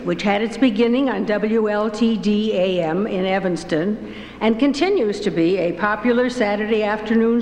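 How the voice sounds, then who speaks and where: A woman's voice: medium pitch, darkish tone. Older woman presenting an award in a hall. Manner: stately, reading prepared remarks. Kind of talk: formal ceremony introduction. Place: ceremony hall stage. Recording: echoey hall, faint noise.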